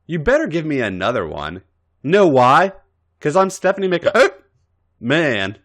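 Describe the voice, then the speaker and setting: A man's voice: fairly high pitch, big pitch swings, a little slow, a bit bright, pitched above the performer's own voice, heavily acted. A man voicing a brash, belligerent drunk young woman with whiny, slurred speech in a comic story reading. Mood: boastful, giggly.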